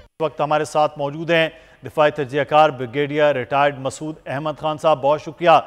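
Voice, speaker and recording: In a long, hall-like reverb, a Pakistani man presents a news talk show. His voice is somewhat high and his delivery formal and wordy, with hesitant phrasing. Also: level tone, fairly quick, faint music behind.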